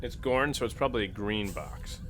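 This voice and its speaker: Guy playing an rpg, crisp bright voice, playful, stumbles over his words, bit of noise. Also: on the low side, animated, a little fast. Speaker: tabletop role-playing player. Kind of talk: casual, playful game chat.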